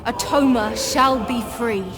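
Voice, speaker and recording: A solemn, devout holy woman of a grim future Inquisition. Her fairly high voice is formal and reverent, a game cutscene speech over loud music. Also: fairly even intonation, slow. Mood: solemn fervour. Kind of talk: acted video game cutscene monologue.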